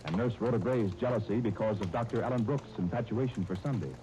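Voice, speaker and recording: A man's voice: on the low side, fast, poor audio. A man announcing in 1930s radio style, reading scripted narration and sponsor copy with dramatic emphasis.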